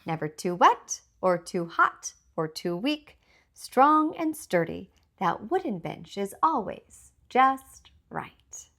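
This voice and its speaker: A woman reading a children's storybook aloud as its author, with deliberate, expressive scripted narration. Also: medium pitch.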